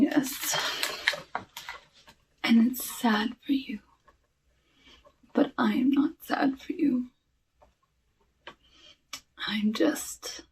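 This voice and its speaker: Woman as sinister forest witch for ASMR roleplay: high-pitched, extremely slow, hushed and savoring, coolly menacing. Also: far from the mic, slight background noise, exaggerated voice, rough recording. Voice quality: breathy. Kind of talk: ASMR roleplay, soft talking.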